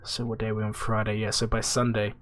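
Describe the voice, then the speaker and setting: A man's voice: lowish voice, fast talker. Nasal, stuffy-nosed male streamer. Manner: rambling, relaxed, answers chat questions. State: stuffy, blocked nose. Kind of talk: casual gaming livestream chat.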